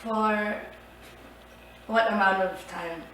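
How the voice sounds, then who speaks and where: Interviewer, short questions, soft murmurs, echoey room, distant mic. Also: mid-range voice, steady tone, slow, a little hiss or hum. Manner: brief questions and frequent backchannel murmurs. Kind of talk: oral history interview.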